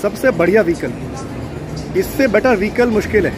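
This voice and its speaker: A man's voice: sits high, animated, fairly quick, over music. A middle-aged man narrating a casual walkthrough of cars, mixing in English phrases and repeating names. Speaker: vlogger touring a car show. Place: large indoor auto expo hall. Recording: loud crowd hall noise.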